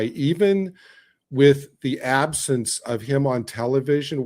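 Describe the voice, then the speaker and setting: A man's voice: mid-range voice, a little mellow. A man speaking as a podcast panellist in casual fan discussion, making his points with emphasis.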